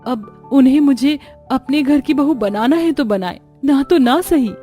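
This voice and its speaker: Cartoon daughter-in-law, grateful and deferential, higher register, female actor, light music behind. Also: measured pace, dark tone. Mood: earnest.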